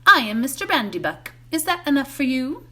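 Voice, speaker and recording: Young hobbit traveller read by a woman, courteous yet firm, pitched up a little for a storybook. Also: very animated, a little fast, a bit bright. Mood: firm, affronted. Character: polite, guarded young male hobbit traveller. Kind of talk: storybook character voice in a read-aloud.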